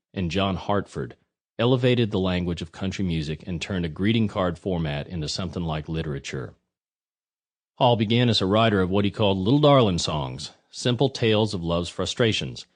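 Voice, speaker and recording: A man narrating a nonfiction audiobook from a prepared script. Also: low-pitched.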